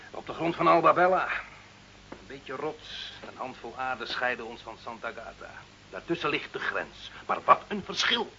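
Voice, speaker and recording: A hot-headed, grumbling village bricklayer in a radio drama. His voice is forceful and mid-pitched, full of sarcasm and stubborn complaint. The old recording is dull, with some background noise. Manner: blustering, sarcastic, argumentative. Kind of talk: radio play acting.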